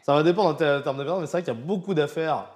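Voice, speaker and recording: A man's voice: fairly high pitch, rapid-fire. A French man hosting a casual, chatty interview, informal and prompting, often jumping in with half-finished sentences. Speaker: interviewer, host.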